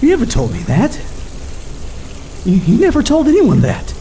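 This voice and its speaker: An actress playing a detective who panics, swears and stammers, her voice gravelly and shaken. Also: fairly high pitch, huge pitch swings, normal speed, dark tone, a little hiss or hum. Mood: rattled. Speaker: detective Carrigan.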